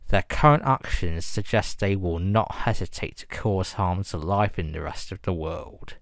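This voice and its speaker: A man reading a scripted emergency broadcast announcement in a formal, measured and grave manner. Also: low-pitched, no room sound, clean studio sound.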